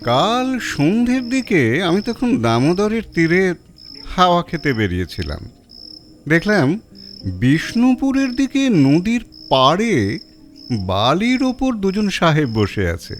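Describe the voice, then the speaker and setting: A man voicing a courteous village gentleman. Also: high voice, wildly swooping pitch, slight background noise, voice pushed up, exaggerated voice. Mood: recounting. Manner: polite, measured.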